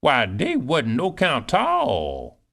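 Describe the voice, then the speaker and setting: A man's voice: takes it slowly, creaky, full character voice. A man playing a bossy older cook. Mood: boastful. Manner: animated, scolding, swoops high. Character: proud older woman cook, bustling and boastful. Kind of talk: audiobook character reading.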